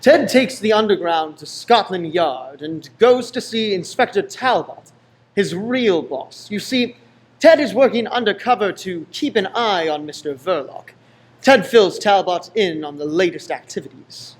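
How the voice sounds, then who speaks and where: A man's voice: high voice, expressive, a little slow. A man reading scripted narration for a radio play.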